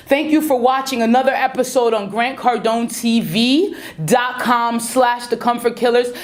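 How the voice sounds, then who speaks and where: A woman hosting an interview show in an energetic, showy way, often calling out to her audience. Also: on the high side. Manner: showy, emphatic, repeats "ladies and gentlemen". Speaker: host.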